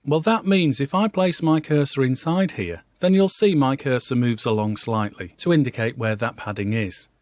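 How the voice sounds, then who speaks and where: A man giving an instructional software walkthrough, explaining step by step in a conversational way. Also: mid-range voice, moderate pace, hardly any pauses, no echo, studio quality.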